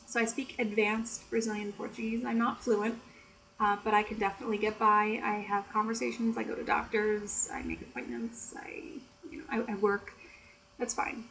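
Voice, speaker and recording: An American woman chatting softly and explaining things in a casual, teacherly way, with frequent "you know". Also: mid-range voice, level tone, moderate pace, a little hiss or hum, poor audio. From United States. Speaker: ASMR creator and English tutor. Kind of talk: soft-spoken ASMR chat.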